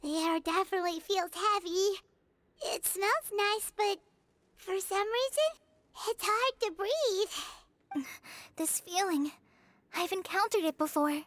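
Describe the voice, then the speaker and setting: A woman's voice: slow, fairly bright tone, no room sound, full character voice. A woman voicing a small, earnest, childlike fairy companion in an anime game. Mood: uneasy. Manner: squeaky, childlike.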